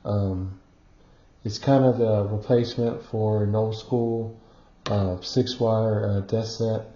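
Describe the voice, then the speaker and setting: Halting low-voiced man in a roomy recording. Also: steady tone, warm tone. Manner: halting, hesitant, improvised explaining. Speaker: electronics hobbyist demonstrating equipment. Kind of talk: unscripted technical demonstration. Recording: roomy echo, dull muffled sound.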